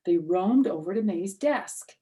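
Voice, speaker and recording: A mature woman storyteller with a mid-pitched voice reads a children's book aloud. She gives the narration animated emphasis and slips into chatty explanations for young listeners. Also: unhurried, slight background noise. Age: middle-aged or older.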